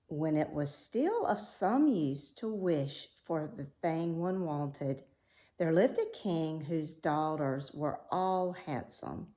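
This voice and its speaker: A woman reading a fairy tale aloud as a storyteller, with measured, dramatic delivery. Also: fairly low pitch, dark tone.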